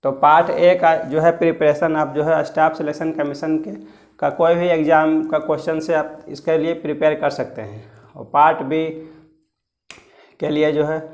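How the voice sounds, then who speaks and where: A man's voice: fairly high pitch, level tone, normal speed, darkish tone. A man with an Indian accent explaining exam guidance as a coaching teacher, repeating and rephrasing his points in two languages. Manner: repeats and rephrases points, switching between Hindi and English.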